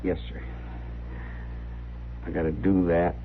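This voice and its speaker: An actor playing a simple, wounded, brooding young man with halting, folksy speech in a western radio drama. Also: low-pitched, level tone, vocal fry, full character voice. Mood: hurt, brooding. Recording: muffled, hissy old radio recording.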